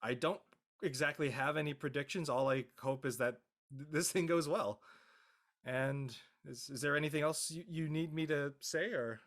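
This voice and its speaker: A man giving a casual introduction as an actor on a group call, halting and repeating words. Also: on the high side, a bit bright. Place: online group video call.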